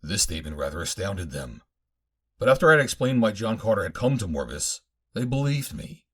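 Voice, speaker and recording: A man's voice: on the low side, very animated, a little fast, husky. A man narrating a pulp adventure audiobook in the first person, in dramatic fashion.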